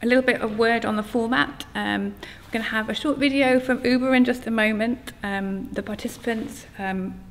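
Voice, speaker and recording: A woman's voice: on the high side, steady tone, moderate pace, hardly any pauses. A woman giving a welcome speech to a live audience as the host of an event. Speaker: event host and festival partner manager. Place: reverberant event hall. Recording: echoey hall, long reverb, background noise.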